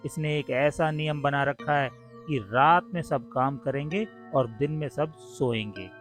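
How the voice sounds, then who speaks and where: A man narrating a scripted cartoon story for children. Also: mid-range voice. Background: soft music.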